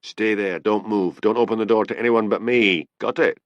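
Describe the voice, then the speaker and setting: A man's voice: on the low side, fairly even intonation, normal speed, no echo, highs cut off, close to an everyday voice. A man voicing a stern, brusque Scottish policeman with a curt, demanding way of talking, in an audiobook story. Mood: sharp, urgent.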